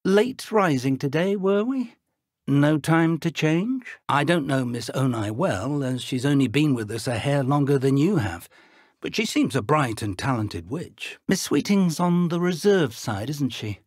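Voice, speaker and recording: A man playing a kindly, earnest elderly British wizard professor in a video game, with measured, refined, formal phrasing and a weathered voice. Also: on the high side, lively intonation, breathy edge, no echo, clean studio sound. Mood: fond, wry. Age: older. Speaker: game character voice actor. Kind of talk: video game dialogue acting.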